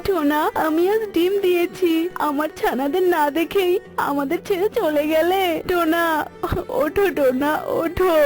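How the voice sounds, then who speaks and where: A woman's voice: very high-pitched, a little mellow, voice pushed up. A woman voicing a plaintive, dramatic mother bird in a cartoon folk tale. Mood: grief-stricken. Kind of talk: cartoon voice acting.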